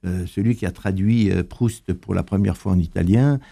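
Low gravelly older man, halting. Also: dark tone. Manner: hesitant, full of pauses and fillers. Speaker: interviewee, translator. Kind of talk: conversational interview answers.

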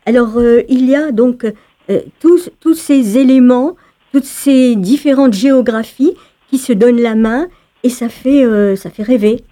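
Woman radio interviewer, mellow voice, rambling questions, lots of hesitations. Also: fairly high pitch, unhurried. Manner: long winding questions with frequent fillers.

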